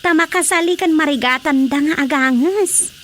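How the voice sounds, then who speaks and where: A woman playing an expressive, gossipy wife in a radio drama comedy, with an Ilocano accent and an exclaiming delivery. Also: sits high, normal speed, a little hiss or hum. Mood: animated gossip. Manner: animated, exclaiming.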